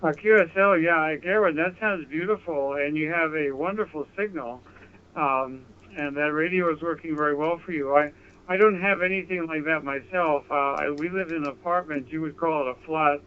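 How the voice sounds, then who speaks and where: A man's voice: fairly high pitch, moderate pace, smooth. A man chatting as an amateur radio operator, courteous and complimentary, heard over a digital radio link. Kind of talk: casual ham radio contact. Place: apartment, transmitting over radio. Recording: narrow phone-like digital radio audio.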